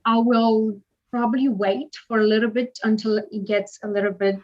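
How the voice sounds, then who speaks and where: A woman's voice: fairly high pitch, steady tone, moderate pace. Polish-accented woman, lo-fi sound. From Poland. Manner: hesitant, many fillers, laughs easily. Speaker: wellness coach guest. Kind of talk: casual interview answers.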